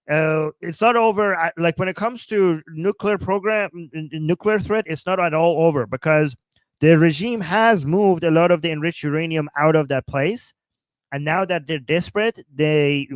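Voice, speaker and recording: A man with a Persian accent, talking as host of a casual political livestream, excited and rambling, with many fillers and restarts. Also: on the high side, dry recording.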